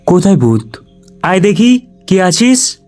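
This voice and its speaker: A brash young man from a horror cartoon, acted by a man, with a raised, excitable voice. He is a skeptical city youth in a ghost tale, with music underneath. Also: high voice, slow, pitched above the performer's own voice, exaggerated voice. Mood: mocking, daring. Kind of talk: animated horror story acting.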